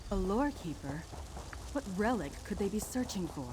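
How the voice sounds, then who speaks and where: An actress voicing a thoughtful female investigator in a fantasy video game, speaking in a measured, deliberate way. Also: medium pitch, big pitch swings, noisy background, lightly acted. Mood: puzzled. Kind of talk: scripted video game dialogue.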